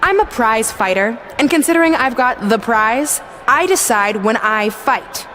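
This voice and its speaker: A woman's voice: fairly high pitch, expressive, measured pace, sharp tone, a little hiss or hum. A woman playing a vain, scheming wrestling villain in a video game, giving a smug, mocking, theatrical promo.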